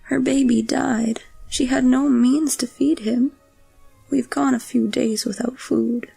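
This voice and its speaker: A woman acting a weary, starving captive with a creaky, raspy voice in an audio drama. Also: high voice, steady tone, takes it slowly, warm tone, no echo, soft background music, full character voice, rough recording. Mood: weary, sorrowful.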